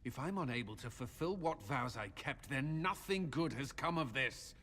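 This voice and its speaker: A man playing a proud, strained military commander with a husky voice and formal, intense speech in a video game. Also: mid-range voice, expressive, moderate pace, a bit bright. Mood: tormented. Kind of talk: dramatic video game dialogue. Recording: reverberant hall.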